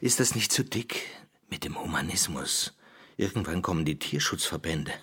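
Very husky, deep-voiced anxious politician. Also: male voice, animated, moderate pace, sharp tone, heavily acted. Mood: uneasy, confiding. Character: anxious, secretive male presidential candidate. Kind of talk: intimate radio drama dialogue.

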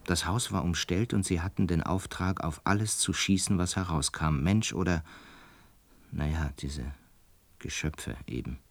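A man's voice: sits low, a little slow, no room sound. An actor playing a pensive, resigned man in a radio play, recounting and explaining things in a hesitant, musing way. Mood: resigned, reflective. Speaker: narrator and lead character of a radio play. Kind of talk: intimate reflective radio drama narration and dialogue.

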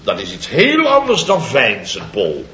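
A man's voice: huge pitch swings, unhurried, pushed and bright, heavily acted. An actor playing a pompous, blustering company boss in his fifties, in a 1960s radio comedy. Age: 50s. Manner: bombastic, theatrical, swinging from booming to muttering. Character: pompous blustering fifty-something male building-firm boss. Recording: dull, roomy vintage radio recording.

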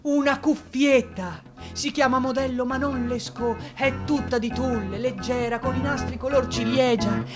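A man's voice: extremely high pitch, steady tone, moderate pace, over music. A man acting the part of an anxious, sentimental young clerk in a theatrical radio drama, with an emotional, fretful delivery. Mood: giddy, excited. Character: anxious, excitable, sentimental young male clerk in old Russia.

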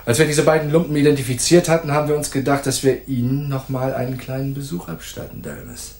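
An actor playing a bullying, sneering, hot-tempered police detective in a noir radio drama, mocking and aggressive. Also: male voice, mid-range voice, steady tone, fast, reverberant, close to an everyday voice. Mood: pressing, gruff. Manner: mocking, aggressive, swinging from soft taunts to barking.

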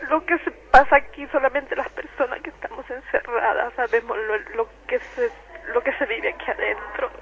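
A woman's voice: a little high, steady tone, normal speed, a bit bright. A woman with an Ecuadorian accent giving personal testimony over the telephone in a radio interview. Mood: distraught. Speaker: detainee giving testimony by phone. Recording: muffled, noisy telephone line.

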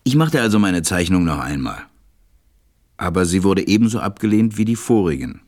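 A man playing a serious stranded pilot who narrates his story in a measured, deliberate way in an audio drama. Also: low voice, very animated, a little mellow, no echo, studio quality. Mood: reflective, wry. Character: stranded adult male pilot, serious narrator recalling events.

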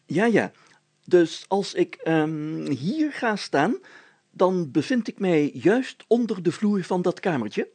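A man's voice: high-pitched, big pitch swings, slow, a little mellow, breathy edge, dry recording, pitched above the performer's own voice, full character voice. A man voicing a pompous, optimistic village mayor in a storybook, speaking emphatically and with self-importance. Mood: pompous, explaining.

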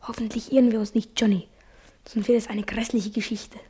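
A woman playing an anxious, excitable schoolboy in a children's radio play, with a husky, breathy, confiding voice. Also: on the high side, a little fast. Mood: worried, conspiratorial. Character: schoolboy, anxious but excitable loyal friend.

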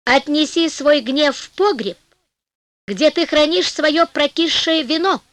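A cocky nobleman from a storybook, played by a woman narrator, high-pitched and unhurried. He taunts with theatrical, mock-polite courtesy, every inch the penniless trickster. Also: a bit bright, no room sound. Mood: taunting.

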